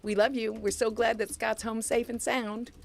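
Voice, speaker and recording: A middle-aged woman co-hosting a podcast livestream, chatting warmly and emphatically with the audience. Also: on the high side, flat delivery, moderate pace, gravelly, a little hiss or hum. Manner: chatty, emphatic, talks to the chat room. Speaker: podcast co-host. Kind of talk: casual livestream chat.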